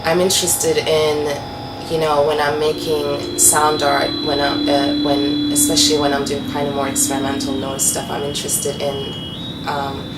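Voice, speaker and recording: A woman's voice: sits low, heavy background noise. A woman from Turkey, a performance artist, talking hesitantly about her work with many ums. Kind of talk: interview talk about her art practice. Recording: echoey, distant mic with loud music behind.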